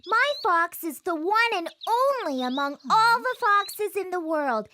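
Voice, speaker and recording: A woman's voice: very high voice, very animated, unhurried, pushed and bright, a little hiss or hum, full character voice. A woman voicing an innocent, curious, earnest little prince, a small boy in a children's cartoon. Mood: earnest, tender. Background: music.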